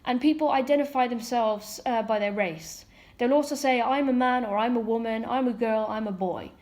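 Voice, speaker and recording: A woman's voice: a little high, normal speed, a little mellow. A woman from Ireland talking candidly in a casual monologue, using frequent fillers and mocking asides. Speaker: ex-member commentator.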